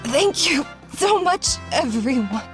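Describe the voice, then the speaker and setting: Young surfer for a game: fairly high, lively intonation, sincere and courteous. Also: female voice, slow pace, music in the background, exaggerated voice. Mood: grateful. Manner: polite, earnest. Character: earnest, grateful young woman surfer. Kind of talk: video game voice acting.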